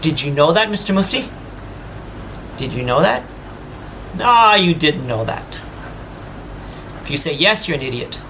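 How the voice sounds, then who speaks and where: A man's voice: on the high side, bright, forceful tone, a little hiss or hum, rough recording. A man giving an emphatic, lecturing rebuttal, speaking straight to his opponent and boasting about his own knowledge. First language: English. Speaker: self-styled religious teacher rebutting a scholar. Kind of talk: combative rebuttal monologue.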